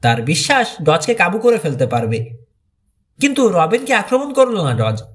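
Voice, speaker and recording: A man's voice: high-pitched, sing-song, normal speed, fairly bright tone, husky. A man reading a mystery thriller audiobook as a dramatic scripted narration.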